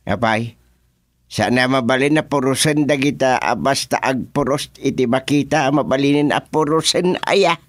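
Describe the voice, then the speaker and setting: A man's voice: mid-range voice, normal speed, no echo, close to an everyday voice. A man playing a stubborn, careless fellow eager to please his superiors in a radio comedy drama. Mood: insistent.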